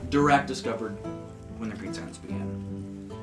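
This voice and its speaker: A man running a tabletop game as game master, narrating in a relaxed, rambling way. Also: fairly low pitch, moderate pace. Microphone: desk USB microphone. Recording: roomy with background music.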